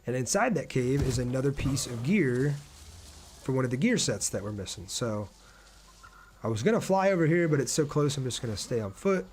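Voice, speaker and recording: Casting: man with a mid-pitched voice for casual gameplay streaming, chatty, conversational, thinks aloud. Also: expressive, fairly quick, a little mellow, slight background noise. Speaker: game streamer reading viewer chat. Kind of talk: casual live gameplay commentary.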